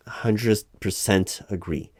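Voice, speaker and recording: A man playing a weary, politely insistent recruiting manager in a one-sided interview roleplay, over-explaining in a halting, repetitive way at normal speaking volume. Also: lowish voice. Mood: exasperated. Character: exasperated male recruiting manager, polite but increasingly weary. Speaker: job interviewer.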